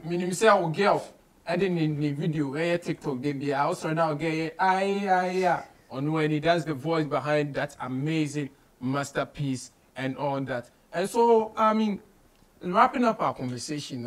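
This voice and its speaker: A Ghanaian-accented man in his thirties hosting a casual studio interview, mixing English with local slang and phrases. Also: a little high. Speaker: radio show host, interviewer. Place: small radio studio office, indoors.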